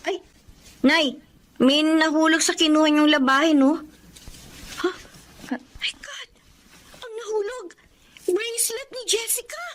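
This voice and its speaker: Young Filipino student daughter, very high voice, earnest, respectful, worried, noisy radio-drama track. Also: female voice, takes it slowly, pauses often, fairly bright tone, full character voice. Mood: shocked. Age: young adult. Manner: deferential, earnest. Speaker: drama actor.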